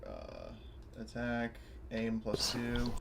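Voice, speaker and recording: A man's voice: medium pitch, wildly swooping pitch, drawn-out words, vocal fry, a crowd in the background. A man playing a tabletop game, chatting casually and often cutting in to work out the rules.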